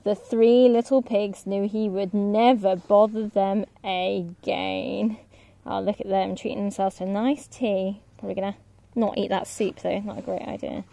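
A woman teacher reading a picture book to young children, expressive, with casual asides. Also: medium pitch, a little slow, creaky. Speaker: teacher and story reader. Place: outdoors on a grassy lawn.

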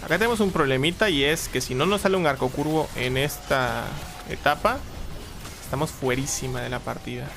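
A young man, chatting casually while playing a strategy game, reading chat and breaking into loud exclaims. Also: mid-range voice, brisk, gravelly. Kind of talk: casual live gameplay commentary. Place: small room at home. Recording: game music behind the voice.

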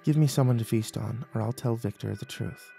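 A man voicing a seductive, predatory female demon in an audiobook, crooning and breathy with a slow, scornful, teasing menace. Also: mid-range voice, mellow, faint music behind. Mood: scornful, menacing. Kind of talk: audiobook character voice.

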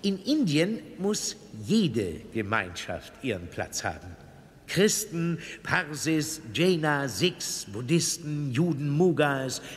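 A smug political boss delivering a menacing speech in a radio play. He declaims slowly with big swings of pitch and weighty pauses, his words ringing through a noisy hall. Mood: pompous, menacing. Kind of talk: German radio drama, public speech. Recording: long hall reverb with noisy ambience.

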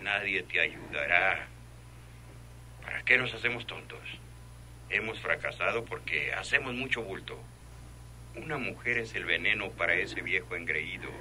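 An actor with a Mexican accent playing a menacing, commanding crime boss in a radio drama, threatening and sardonic. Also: male voice, low-pitched, normal speed, sharp tone, slight background noise, low-quality recording. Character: menacing male crime boss ordering a killing. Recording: buzzy old radio drama recording.

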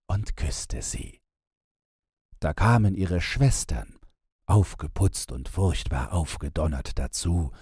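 A man's voice: a bass voice, vocal fry, dark tone, breathy edge, no echo, studio quality. A man narrating a fairy tale as a scripted bedtime audiobook.

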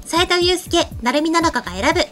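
A woman's voice: very high-pitched, expressive, fairly quick, a bit bright, faint music behind. A woman co-hosting a casual talk show, chatting and presenting. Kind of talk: casual chat with ranking announcements. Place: small indoor streaming studio. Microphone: microphone on desk in front.

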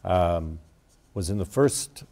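An American man in his sixties chatting casually as a guest, in a halting, slightly wry way. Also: sits low, lively intonation, creaky, mellow. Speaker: interview guest, theater performer. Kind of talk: casual chat. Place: TV studio.

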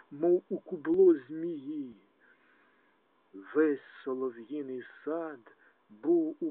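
A man giving a dramatic poetry recitation in a solemn, declamatory way, letting phrase endings drop to a hush. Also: fairly high pitch, no room sound. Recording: tinny phone-like narrow band, dry.

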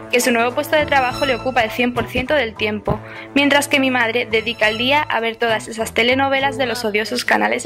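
A woman's voice: a little high, natural voice. A young woman playing an aloof French student, narrating with dry sarcasm. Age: young adult. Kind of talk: scripted voice-over narration.

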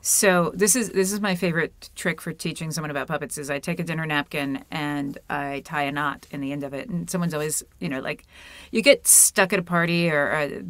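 A middle-aged woman chatting and explaining as an interview guest, animated, playful and self-deprecating. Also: sits low, fairly quick, few pauses, no echo. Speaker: guest puppeteer and author. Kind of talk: casual interview chat with explanations. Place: small room at home, video call.

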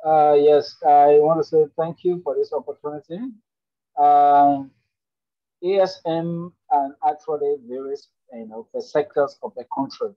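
A man's voice: fairly high pitch, steady tone, unhurried, no room sound. A man, speaking earnestly with many fillers and restarts as a webinar participant on a video call from a public space. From Liberia. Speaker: webinar participant asking a question. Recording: dry, dull lo-fi call audio.

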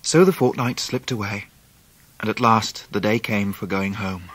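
A man playing a grown English narrator recounting his boyhood in a radio drama, in measured literary phrases. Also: on the low side, a bit bright, dry recording, poor audio. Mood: wistful.

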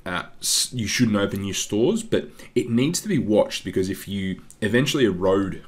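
A man hosting an investing channel, talking casually through slides with a congested voice, often calling his viewers "guys" and filling pauses with ums. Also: lowish voice, animated. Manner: chatty, keeps saying "guys", frequent ums. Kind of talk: casual stock analysis over slides. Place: voice-over on screen-share slides.

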